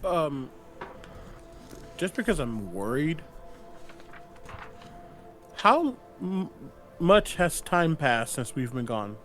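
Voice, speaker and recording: A man playing a tabletop game, asking questions in a quiet, uncertain way. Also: a little high, sing-song, drawn-out words, pauses often, soft background music. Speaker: player.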